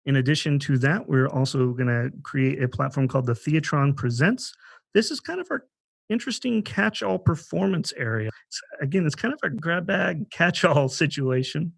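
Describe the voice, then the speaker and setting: A man's voice: a little high, lively intonation, normal speed, creaky, no room sound. A man hosting a podcast in a conversational introductory style, often thinking aloud and rephrasing as he goes. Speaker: podcast host.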